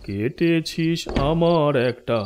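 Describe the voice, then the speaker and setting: A vengeful one-legged storybook bear, voiced by a man, in a children's cartoon. The voice is on the high side and drags out threats very slowly and menacingly. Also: darkish tone, slight background noise. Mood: menacing chant. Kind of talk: Bengali fairy-tale cartoon voice acting.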